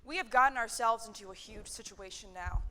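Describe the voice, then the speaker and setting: A woman's voice: on the high side, a little fast, pushed and bright. A woman playing a blunt, exasperated factory worker caught up in a revolt, in a stage drama. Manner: sarcastic, exasperated, swearing.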